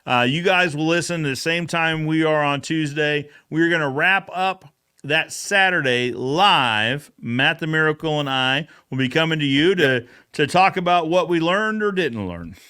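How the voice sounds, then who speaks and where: Guy hosting a casual recap chat, bright smooth voice, kinda high, informal. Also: a little slow. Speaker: show host.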